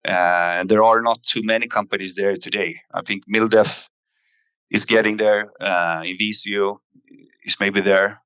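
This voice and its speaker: A man giving a company investor presentation in a halting way, pausing often with fillers. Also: on the low side, steady tone, bright, forceful tone, clean tone, no room sound, lo-fi sound. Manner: halting, hesitant delivery with frequent fillers. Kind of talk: investor earnings presentation, semi-scripted.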